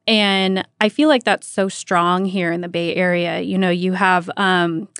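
An American woman hosts a relaxed, informal radio interview in a close, dry recording. She talks in a chatty, conversational way, sprinkling her questions with small filler phrases like "you know". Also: on the low side, measured pace, clear, resonant tone, dry recording. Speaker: radio host interviewing a guest.

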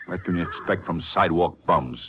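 Man playing stiff, low-voiced army major. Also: brisk, close to an everyday voice. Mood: disdainful, curt. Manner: curt, clipped, haughty. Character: stiff, disdainful middle-aged army major running a camp. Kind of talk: old-time radio drama acting. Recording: tinny, noisy 1950s radio broadcast.

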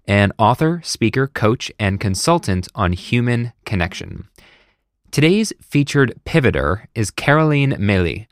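A man's voice: lowish voice, no echo, studio quality. A man hosting a podcast, reading a scripted intro and then chatting casually and self-deprecatingly with his guest. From United States. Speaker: podcast host.

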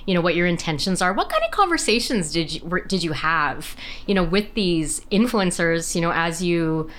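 40s lady hosting a podcast, low-ish voice but swings all over, chatty. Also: moderate pace, slight background noise. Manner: chatty, laughing, expressive. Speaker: podcast host. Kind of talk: casual podcast interview.

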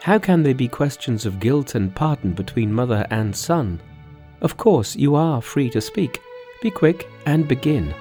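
A man's voice: medium pitch, lively intonation, a little slow, mellow, faint music behind, voice pushed up, natural voice. A man voicing a sorrowful, anxious queen mother who speaks tenderly and formally in a storybook tale. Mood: gently insistent. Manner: formal, plaintive, pleading. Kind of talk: storybook dialogue in a narrated folk tale.

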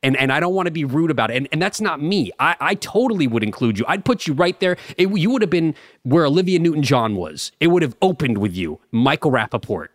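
A man's voice: on the high side, big pitch swings, quick pace, dry recording, studio quality. A young man co-hosting a podcast, talking earnestly and rambling with stammered restarts. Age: young adult. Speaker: podcast co-host. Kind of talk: casual podcast banter.

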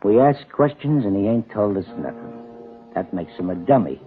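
An actor in a 1940s radio drama playing a taunting, slow-witted gangster henchman who needles in repetitive, ungrammatical talk. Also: fairly low pitch, animated, soft background music, close to an everyday voice. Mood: menacing. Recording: tinny, narrow-band 1940s radio recording.

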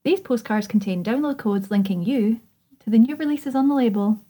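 Wry female podcast co-host, slightly high voice, reads promo copy with a teasing edge. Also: fairly quick, mellow. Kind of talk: reading promo copy with jokey chat.

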